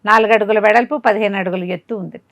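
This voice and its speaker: A woman storyteller, recorded dry and close, with a middling pitch. She tells a folk tale in a conversational way. She keeps putting rhetorical questions to the listener as she goes. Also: steady tone, fast, vocal fry, fairly bright tone, no echo. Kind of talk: informal spoken telling of a folk tale.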